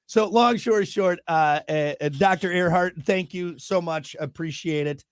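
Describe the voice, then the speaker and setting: A young man co-hosting a morning show, talking loud and boisterous in casual banter. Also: high-pitched, normal speed, smooth, no room sound, clean studio sound. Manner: loud, boisterous, projects his voice.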